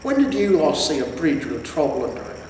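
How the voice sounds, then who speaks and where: A man's voice: fairly high pitch, big pitch swings, a little fast, a little mellow, far from the mic. A man voicing a nagging, cautious talking horse in a fantasy storybook reading. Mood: sceptical. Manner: nagging, questioning. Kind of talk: acted character dialogue in a fantasy storybook reading. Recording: very echoey reverberant room, faint noise.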